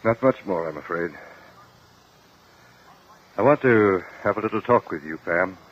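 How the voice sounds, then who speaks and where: Low-voiced stern uncle, old radio. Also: male voice, expressive, measured pace. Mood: grave. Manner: firm, admonishing. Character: stern older uncle and guardian, exasperated but principled. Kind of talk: 1950s radio drama acting. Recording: dull lo-fi old radio recording.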